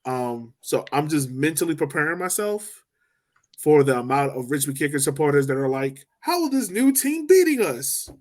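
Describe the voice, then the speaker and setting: Animated, higher-pitched man chatting about sports. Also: moderate pace. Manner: joking, informal, slangy. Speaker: podcast host. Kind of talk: casual sports podcast chat.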